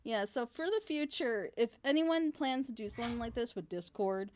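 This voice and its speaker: A woman's voice: on the high side, moderate pace, darkish tone. A woman hosting a casual group critique, giving her opinions and explaining them at length. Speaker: host of a panel commentating on audio dramas. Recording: home mic with clear background noise.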